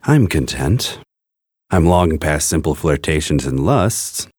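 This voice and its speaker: A man's voice: extremely low pitch, lively intonation, slow pace, darkish tone, slightly rough, no echo, studio quality, full character voice. A fussy bookish loner in his forties. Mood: musing. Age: 40s. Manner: musing, self-absorbed, talking to himself. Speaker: Walter. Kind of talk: radio drama acting.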